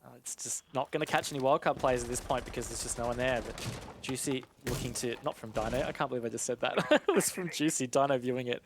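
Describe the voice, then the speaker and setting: A man with an average-pitched voice provides live esports analysis. He talks conversationally and explains as he goes, in the role of analyst beside a caster. Faint gunfire and clicks from a game are audible behind him. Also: quick pace, background noise.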